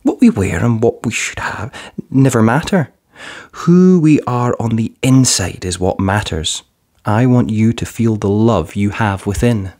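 A man's voice: lowish voice, expressive, a little slow, darkish tone. Man voicing sincere, lilting nine-year-old boy. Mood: caring reassurance.

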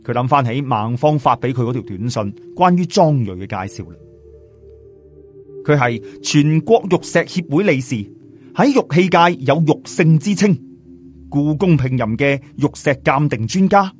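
A man with a Cantonese accent narrating a scripted story in a dramatic, expressive way. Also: on the high side, moderate pace. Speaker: audiobook narrator. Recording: music bed under voice. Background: background music.

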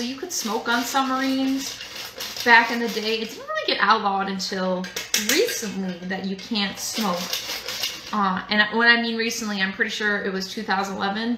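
A young woman hosting a casual live cooking demo from a home kitchen, chatty and rambling with lots of fillers. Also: on the high side. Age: young adult. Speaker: museum cooking show host. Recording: echoey kitchen with background noise.

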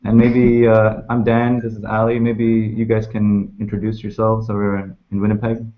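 Low-voiced man hosting a video call. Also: normal speed. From Canada. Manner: relaxed, hesitant with fillers. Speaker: interviewer, host. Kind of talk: casual video-call interview. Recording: muffled video-call audio.

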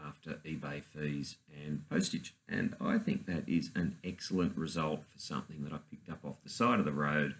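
A man, talking casually and haltingly in a garage as host of his own channel. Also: rumbling low, fairly even intonation, a bit bright. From Australia. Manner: rambling, hesitant with many fillers. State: hoarse after a night out. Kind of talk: casual vlog. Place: cluttered garage workshop.

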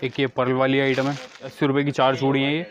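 A man's voice: mid-range voice, monotone, quick pace, dull audio. A man giving a matter-of-fact product showcase as a wholesale shopkeeper, repeating prices and details. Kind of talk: product showcase sales talk. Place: small packed wholesale bangle shop, indoors. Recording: busy shop chatter behind the voice.

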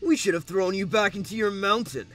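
A man acts out an excitable, brash boy for a cartoon comic dub. His voice sits very high for a male, with a bright, forceful edge. He talks in exclamations. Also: brisk, soft background music. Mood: hostile. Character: young boys, excitable and brash, cartoon humans.

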